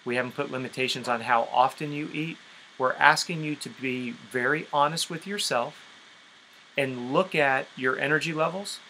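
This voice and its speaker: A man's voice: medium pitch, normal speed, sharp tone, slight background noise, low-quality recording. A man giving an instructional coaching talk as a sports coach, direct and emphatic, explaining things with rhetorical questions. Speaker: endurance sports coach leading a conference call.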